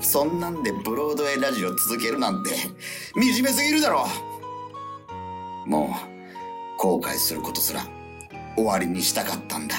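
A man's voice: fairly low pitch, huge pitch swings, moderate pace, pauses often. A widely swinging male voice over music, as a regret-ridden radio host in a comic radio play. He is theatrical and evasive, steeped in self-pity. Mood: despairing. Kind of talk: comic radio drama acting. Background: background music.

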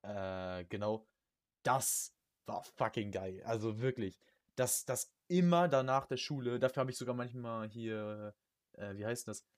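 A man's voice: medium pitch, measured pace, no echo, studio quality. A young man chatting casually as a podcast host about video games, peppering his talk with youth slang and English gaming words. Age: young adult.

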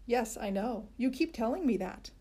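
A woman's voice: a little high, animated, moderate pace, dark tone, breathy edge. A woman voicing a proud, goofy little schoolboy who brags in a matter-of-fact way. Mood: boastful.

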